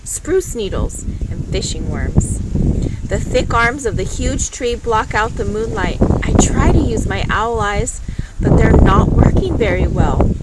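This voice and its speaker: A woman reading a storybook aloud in an expressive, dramatic narrating style. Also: fairly high pitch, very noisy. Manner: dramatic, unhurried storytelling delivery. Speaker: storybook reader.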